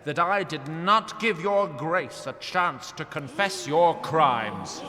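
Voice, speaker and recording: In a long, reverberant hall, a scheming fantasy lord treasurer. His delivery is slow and lively in pitch, with a grave, formal and boldly accusing courtly manner. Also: a crowd in the background. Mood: defiant accusation. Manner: formal, measured courtly speech. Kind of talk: fantasy audio drama acting.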